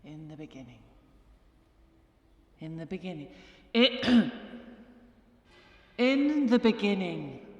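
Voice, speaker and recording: A woman's voice: medium pitch, sing-song, dull audio. An actress playing an indignant churchwoman delivering a theatrical mock-biblical sermon monologue from a pulpit. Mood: solemn, sardonic. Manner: theatrical, grandly declamatory, deliberate. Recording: echoey church-like hall reverb.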